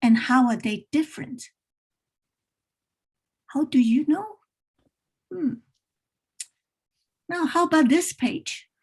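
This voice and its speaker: A woman demonstrating read-aloud questions for educators as a workshop presenter, gently prompting as she goes. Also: sits high, animated, takes it slowly, long pauses. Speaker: teacher trainer presenting a workshop.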